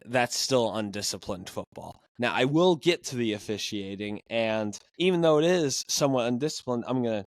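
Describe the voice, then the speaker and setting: A hoarse young man hosting a casual sports podcast, ranting with animated, exasperated energy. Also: mid-range voice, no room sound. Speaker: podcast host.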